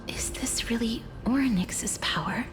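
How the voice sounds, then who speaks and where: Woman acting young game heroine, soft breathy voice, solemn, dutiful, formal and gentle, clear music behind. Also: fairly high pitch, unhurried, in a whisper, natural voice. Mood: pensive.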